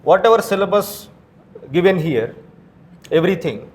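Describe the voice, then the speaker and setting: A man's voice: high-pitched, expressive, moderate pace, darkish tone. A man with an Indian accent lecturing to exam students as a coaching teacher, posing questions and answering them himself. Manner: frequent tag questions like "right?" and "okay?".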